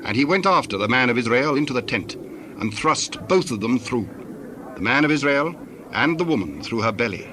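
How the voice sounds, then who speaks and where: A male narrator reads scripture in a mid-pitched voice with faint ambient noise behind him. His delivery is formal and measured, as scripted storytelling. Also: sharp tone.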